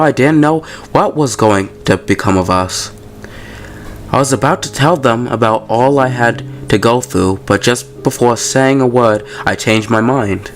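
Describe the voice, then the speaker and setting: A man hosting a gaming video, casually commenting and reading story text aloud in an informal, slangy way. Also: mid-range voice.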